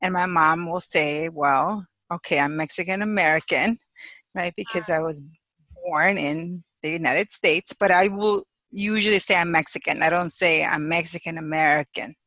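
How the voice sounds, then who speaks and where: A woman from Chicago talks in a bright, forceful voice, recorded dry and close with no room around her. She laughs easily and pauses to think, filling gaps with soft fillers. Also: on the low side, no room sound. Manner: warm, laughs often, thoughtful pauses with fillers. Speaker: guest, clinical psychologist and sex therapist. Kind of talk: casual interview chat. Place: home office in Hawaii.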